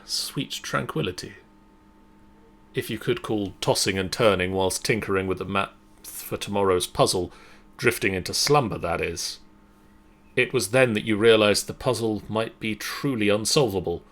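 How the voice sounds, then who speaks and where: A man serving as game master, narrating a story in a measured, dramatic way and then chatting casually. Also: lowish voice, a bit bright.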